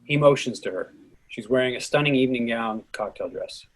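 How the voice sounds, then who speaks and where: A man's voice: medium pitch, brisk, poor audio. A man reading scripted stage directions and character notes aloud in narration.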